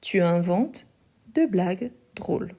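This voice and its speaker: A woman teaching a live online French lesson, speaking slowly and carefully, repeating each sentence and spelling out the verb endings. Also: on the low side, lively intonation, creaky, mellow.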